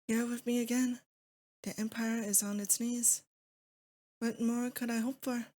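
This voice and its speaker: A woman's voice: a little high, level tone, a little slow, dark tone. A woman acting as a lovesick, possessive demon lord in a romantic ASMR roleplay, intimate and doting with a theatrical menace. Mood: tender, longing.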